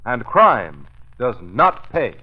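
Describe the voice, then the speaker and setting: A man's voice: medium pitch, very animated, slow pace. A man announcing on vintage radio with an emphatic salesman's advertising delivery. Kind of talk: 1930s radio advertising pitch. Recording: thin, narrow-band vintage radio recording.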